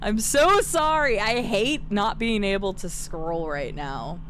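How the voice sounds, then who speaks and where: A young woman streamer with a Midwestern accent chats with viewers while she plays, laughing easily and cursing casually. Her voice sits at an ordinary middle pitch. Background music runs clearly under her talk. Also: animated, slow pace, a bit bright. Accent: Midwestern American. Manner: chatty, laughs often, swears casually, answers chat. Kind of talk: casual live-stream chat while playing. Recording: music playing behind the voice.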